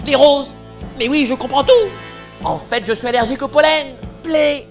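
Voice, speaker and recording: A man's voice: extremely high pitch, normal speed, soft background music. A man playing a brash, boastful young knight in an anime parody dub, exclamatory and whiny. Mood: pained, bewildered. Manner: exclamatory, theatrical whining.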